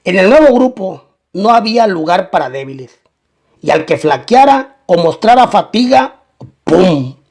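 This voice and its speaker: A male narrator tells a scripted crime story in documentary style. His voice sits high for a man and has a strong gravelly fry running through it. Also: expressive, normal speed, a little mellow.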